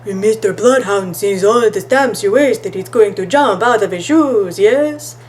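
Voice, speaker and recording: A woman's voice: mid-range voice, measured pace, darkish tone, close to an everyday voice. A woman reading the part of a playful, doubting boy detective in a children's mystery storybook. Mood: teasing.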